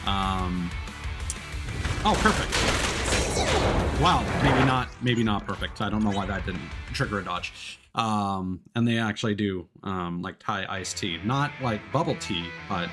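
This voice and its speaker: A man's voice: lowish voice, expressive, measured pace. A man livestreaming a video game, giving casual, rambling commentary and thinking aloud as he plays. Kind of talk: casual live gameplay commentary. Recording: game music behind the voice. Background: video game music.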